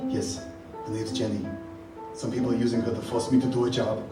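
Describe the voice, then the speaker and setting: A man playing a tough, deadpan action-hero father in a live comedic radio play. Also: medium pitch, brisk, natural voice. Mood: earnest resolve. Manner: forceful, melodramatic, deadpan one-liners. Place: rehearsal hall live performance. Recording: echoey hall, distant, music underneath.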